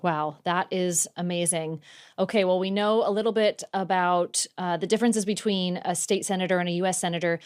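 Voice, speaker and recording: Brief: woman podcast host, lower pitch, upbeat and friendly, interviewing a guest. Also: steady tone, brisk, clear, resonant tone. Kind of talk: scripted intro and interview questions.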